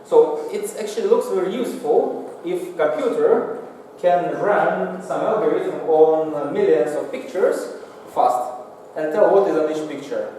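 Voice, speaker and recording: A man giving a technical lecture with slides in a lecture room, speaking halting, non-native English. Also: on the high side, lively intonation, mellow. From Singapore. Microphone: far from the mouth, room pickup. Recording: echoey hall, distant mic, faint noise.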